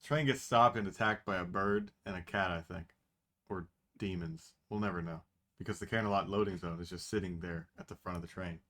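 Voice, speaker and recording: A man's voice: sits low, steady tone, a little fast, fairly bright tone. A man making dry, sarcastic remarks about a story during a reading.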